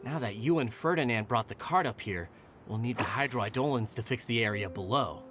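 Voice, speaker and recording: A man's voice: medium pitch, animated, brisk, music in the background, close to an everyday voice. An actor playing an earnest, testy young male caretaker in a video game, fussy and articulate, with faint noise behind. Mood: earnest, polite.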